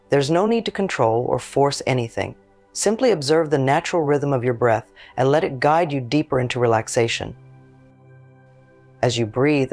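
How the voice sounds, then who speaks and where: Man guiding a meditation over prominent music. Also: medium pitch. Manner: gentle, soothing, measured instruction. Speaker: meditation guide. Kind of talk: scripted guided meditation narration.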